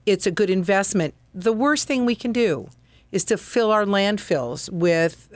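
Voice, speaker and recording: A woman's voice: mid-range voice, lively intonation, a little slow, no echo. A woman guest on a radio show, explaining furniture advice in an earnest, practical way. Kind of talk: radio interview.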